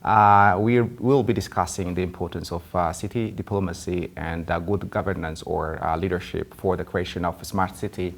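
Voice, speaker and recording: Gravelly, low-voiced TV host. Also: male voice, fairly even intonation, normal speed, few pauses. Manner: formal, hesitant, with many fillers. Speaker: TV show host. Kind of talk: hosting a TV interview.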